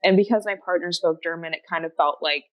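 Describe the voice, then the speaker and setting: A woman's voice: lowish voice, quick pace, muffled recording. A woman whose first language is Japanese, chatting enthusiastically as a podcast guest with lots of fillers. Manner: rambling, enthusiastic, many fillers and restarts. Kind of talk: casual chat.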